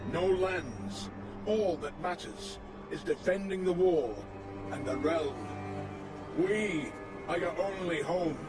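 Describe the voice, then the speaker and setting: An actor playing a stern old lord commander in a fantasy game, giving grave, formal oratory. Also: male voice, a little high. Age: older. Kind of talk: dramatic fantasy game acting.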